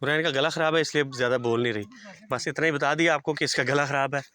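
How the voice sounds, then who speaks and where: A man's voice: a little high, steady tone, fast, sharp tone, slight background noise. A man with a Punjabi accent talking casually outdoors on a village trail, cheerfully directing his children on a handheld camera. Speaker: father and vlogger. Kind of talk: casual on-location talk.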